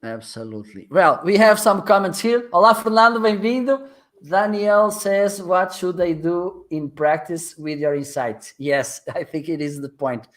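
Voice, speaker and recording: A man from Portugal with a Portuguese accent hosting a live interview in a second language, halting and often restarting, and he laughs easily. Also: sits high, a bit bright. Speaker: host.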